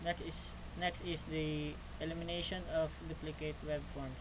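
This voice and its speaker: A man's voice: fairly high pitch, flat delivery, normal speed. A twenty-two-year-old man from the Philippines, a student presenting a class project, reading stiffly and repeating phrases. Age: 20s. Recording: noticeable background noise.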